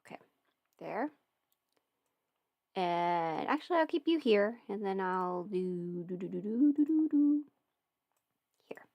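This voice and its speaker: A woman hosts an informal livestream in a medium-pitched voice. She talks hesitantly, often breaking off and restarting her thought as if working it out aloud. The sound is dry and close, with very little room around her. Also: lively intonation, slow, long pauses, no echo, dull audio. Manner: hesitant, self-interrupting, thinking aloud. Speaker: livestream host, audio roleplay creator. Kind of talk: casual rambling livestream Q&A.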